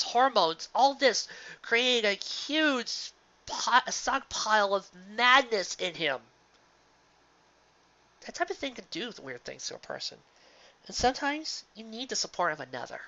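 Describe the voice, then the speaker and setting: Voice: medium pitch, bright, forceful tone. A person reading and discussing fan fiction in an emphatic, informal, dramatic way. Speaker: fan fiction reader and reviewer. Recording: dull lo-fi sound.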